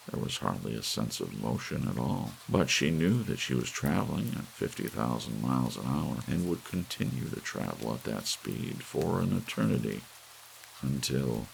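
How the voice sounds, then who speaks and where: A man with a gravelly voice reading an audiobook story in a soft ASMR narration style. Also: very deep voice, rough recording. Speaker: audiobook reader. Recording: faint hiss under the voice. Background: soft rain.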